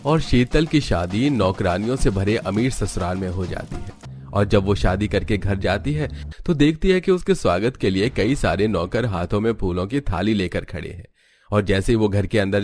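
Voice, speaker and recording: A man's voice: fairly low pitch, animated, moderate pace, a little mellow, faint music behind. A man reading scripted storybook narration for a cartoon tale.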